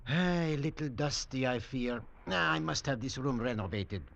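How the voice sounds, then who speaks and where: An actor playing a suave, condescending French hotel manager with a French accent in an old radio drama. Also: male voice, mid-range voice, moderate pace, creaky, fairly bright tone. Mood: smug. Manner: oily, smugly polite. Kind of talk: 1940s-50s radio drama acting. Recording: muffled old radio recording.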